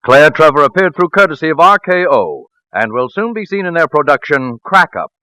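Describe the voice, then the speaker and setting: 40s radio announcer man, high n animated, emphatic showman delivery. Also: brisk, no echo. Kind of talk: 1940s scripted radio promo.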